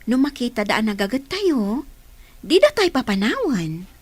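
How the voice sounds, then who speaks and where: An industrious aunt acted by a woman in an audio drama. The voice is high and moves about a lot, with an Ilocano accent. The manner is plain-spoken. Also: very animated, measured pace, husky, no echo, heavily acted. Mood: earnest. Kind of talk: radio-style drama dialogue.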